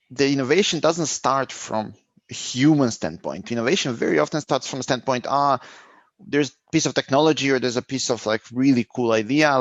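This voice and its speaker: Middle-aged man, interview guest, reflective and halting, dry muffled sound. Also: medium pitch, no echo. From Serbia. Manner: thoughtful, searching for words, frequent restarts. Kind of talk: conversational interview answers.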